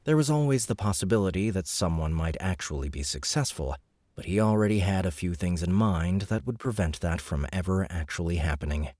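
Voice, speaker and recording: A man narrating an audiobook in a steady, measured storytelling manner, close to the mic. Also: deep voice, no echo. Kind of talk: scripted narration.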